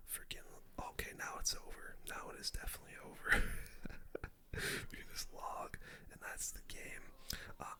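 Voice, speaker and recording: A game streamer whispering casual, slangy commentary in an ASMR style. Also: bright, forceful tone. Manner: whispering throughout; casual, slangy gamer talk. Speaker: gaming video host.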